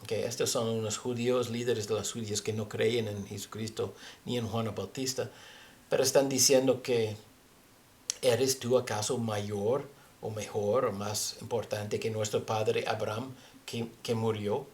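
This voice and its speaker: A man with a foreign accent, a native English speaker, teaching scripture haltingly as he searches for words. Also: on the low side, fairly even intonation, darkish tone. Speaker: religious teacher. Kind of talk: Bible teaching with scripture reading.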